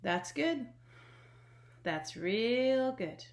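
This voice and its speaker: A woman's voice: on the high side, lively intonation, drawn-out words, full character voice. A woman voicing a folksy, plain-spoken, commanding mother figure in a storybook reading. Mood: satisfied, slow. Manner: folksy Southern-style colloquial phrasing, plain-spoken, commanding. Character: Big Momma, folksy no-nonsense mother creator, pleased with her work. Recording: echoey room.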